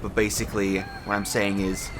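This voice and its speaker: Young man, low voice, groggy just-woken sound, ranty casual game talk, noisy home recording with gunfire behind. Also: flat delivery, moderate pace. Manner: ranty, lots of fillers and restarts, swears. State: voice sounds a little off from just waking up. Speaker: gaming commentary host. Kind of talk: casual rambling commentary. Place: home room with a fan.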